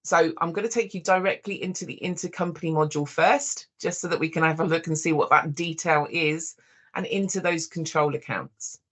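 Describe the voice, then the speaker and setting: A software demonstrator giving a live walkthrough, explaining step by step in an informal way and addressing viewers directly. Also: mid-range voice, steady tone, a little fast.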